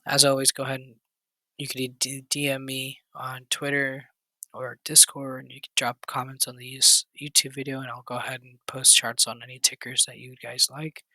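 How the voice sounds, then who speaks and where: Guy with a raspy voice talking over stock charts, dry close sound, kinda monotone. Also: mid-range voice, no room sound, poor audio. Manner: quick, loose, with fillers and restarts. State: hoarse, recovering voice. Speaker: stock trading channel host. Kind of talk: casual chart walkthrough.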